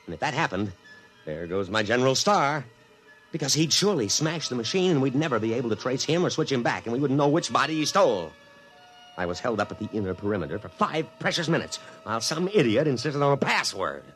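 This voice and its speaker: A man acting a pompous, excitable army officer in a blustering, dramatic 1950s radio drama style. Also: medium pitch, fast talker, faint music behind, rough recording. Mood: tense, rueful narration. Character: pompous, excitable male army officer narrating his downfall.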